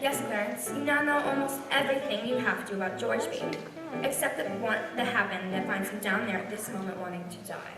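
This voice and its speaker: A woman playing a solemn senior angel who narrates a stage radio play in a measured, explanatory way. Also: on the high side, loud music behind the voice, natural voice. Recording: reverberant hall, far mic.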